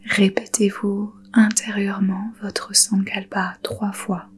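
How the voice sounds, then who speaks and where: A woman guiding a yoga nidra meditation in a soft, breathy half-whisper, calm and soothing, with unhurried pauses. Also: medium pitch. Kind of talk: guided meditation.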